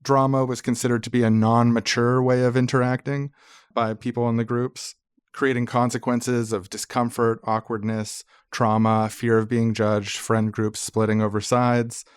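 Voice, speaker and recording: A man's voice: medium pitch, level tone, moderate pace, clear, resonant tone, no room sound, studio quality. A man co-hosting a podcast, explaining things in an informal, conversational way. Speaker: podcast co-host.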